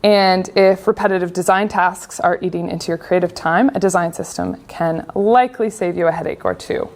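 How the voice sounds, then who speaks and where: A woman's voice: on the low side, normal speed. A young woman with a Canadian accent giving a casual, chatty conference talk on design. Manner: casual, chatty with frequent fillers. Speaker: conference speaker.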